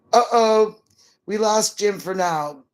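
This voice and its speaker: A middle-aged woman chatting casually as a livestream co-host, in an easygoing, slightly halting, musing way. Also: mid-range voice. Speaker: live stream co-host.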